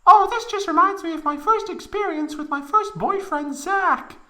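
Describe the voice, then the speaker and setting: Man doing a shrill falsetto young woman, melodramatic. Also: normal speed, sharp tone, exaggerated voice. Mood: giggly, concerned. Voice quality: squealing falsetto. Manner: exaggerated, melodramatic. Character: shrill, giggly, dramatic young woman from fanfiction. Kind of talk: mock dramatic reading.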